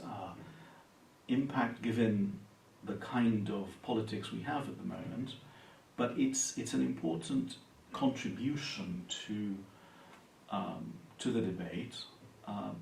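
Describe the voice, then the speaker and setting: A man from Palestine speaks in a low, gravelly voice, measured and thoughtful, often pausing on fillers. He is recorded in an echoey room from some distance, with faint hiss underneath. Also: darkish tone. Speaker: university lecturer and Anglican priest. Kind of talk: interview answers.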